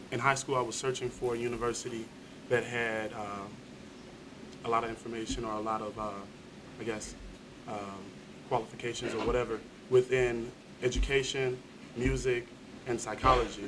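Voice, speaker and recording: A young man, a psychology student on a university panel, speaking hesitantly with many fillers. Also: medium pitch. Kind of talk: panel talk. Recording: distant mic with room noise.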